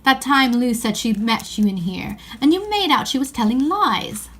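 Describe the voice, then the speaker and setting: A woman's voice: a little high, sing-song, moderate pace, a bit bright. A woman voicing an earnest, fair-minded eldest-brother schoolboy in a children's story, with emphatic old-fashioned phrasing. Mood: indignant.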